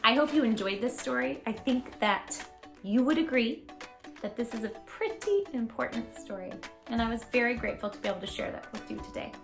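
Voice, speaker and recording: Woman, children's book reader, expressive, gentle, echoey room, faint music. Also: a little high, a little fast. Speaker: storytime host reading aloud. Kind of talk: children's picture-book read-aloud.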